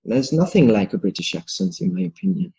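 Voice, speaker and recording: A man's voice: lowish voice, big pitch swings, a little fast, creaky, dark tone, husky. A man hosting an English lesson, chatting casually and listing many examples as he agrees with his co-host. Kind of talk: casual conversation. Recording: dull lo-fi audio.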